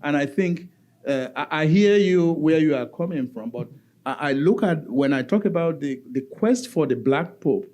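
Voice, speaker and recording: A man talks on a conference panel, stopping and restarting his sentences with many fillers. His voice sits a little high, yet it sounds soft and mellow. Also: animated, normal speed. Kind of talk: academic panel talk. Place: conference hall.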